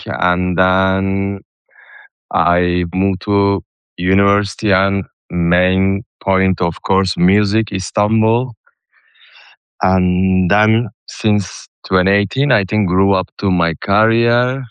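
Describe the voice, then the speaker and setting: A man from Turkey with a Turkish accent, answering casually and haltingly in non-native English as a DJ guest in a radio interview. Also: low-pitched, clean tone, no echo, muffled recording. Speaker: guest DJ and music producer.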